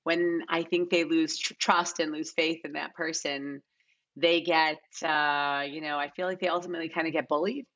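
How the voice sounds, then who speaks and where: A woman's voice: low voice, fairly even intonation, normal speed, a bit bright. A middle-aged American woman chatting casually and ramblingly as a guest in an interview over a video call. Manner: rambling, peppered with "like" and "you know". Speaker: casting director, podcast guest. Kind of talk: casual, unscripted interview chat. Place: bright living room at home. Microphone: video call, wearing headphones. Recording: slightly dull, lo-fi video-call audio.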